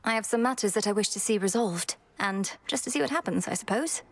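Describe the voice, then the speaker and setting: An earnest young witch princess, voiced by a woman, polite and formal in her phrasing. She speaks at an even middle pitch with a composed, careful delivery. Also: quick pace, lightly acted. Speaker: game character.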